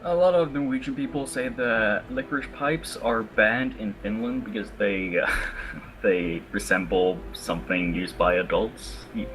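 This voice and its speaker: A man chatting casually over voice chat, halting and full of fillers. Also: lowish voice, noisy background. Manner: hesitant, many fillers. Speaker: voice chat participant.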